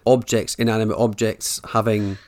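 A middle-aged male interviewer in relaxed conversation. He speaks with eager enthusiasm and laughs easily, often starting a question, trailing off and trying again. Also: fairly low pitch, moderate pace.

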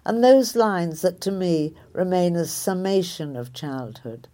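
A woman, a writer reading a reflective personal essay in a measured, thoughtful way. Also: fairly low pitch, animated, warm tone. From Liverpool area, England.

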